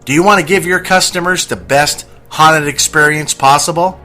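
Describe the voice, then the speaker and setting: A man's voice: fairly high pitch, normal speed, sharp tone, faint music behind. A man reading a scripted radio advertisement as a commercial announcer.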